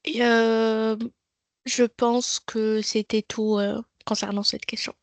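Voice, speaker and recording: A woman from Morocco, for whom French is a second language, describing her time abroad in a webinar, with many pauses and restarts. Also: a little high, level tone, no echo. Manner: hesitant, many fillers and restarts. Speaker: apprentice engineer sharing an exchange experience. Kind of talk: webinar testimony. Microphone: video call.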